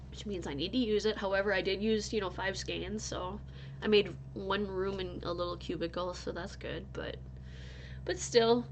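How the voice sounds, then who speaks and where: A woman's voice: mid-range voice, dull audio, a little hiss or hum. A married woman from North Dakota, still sniffly from illness, rambling chattily to her viewers in a casual crochet vlog. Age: adult, married. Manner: rambling, chatty, with frequent restarts and laughs. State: stuffy and recovering from illness. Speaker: crochet vlogger.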